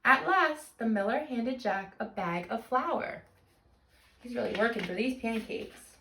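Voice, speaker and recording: A woman hosting storytime like a teacher, reading a picture book to young children in an animated, expressive way. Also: a little high, a little slow, echoey room, distant mic, slight background noise. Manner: animated, expressive teacher talk with simple questions and explanations.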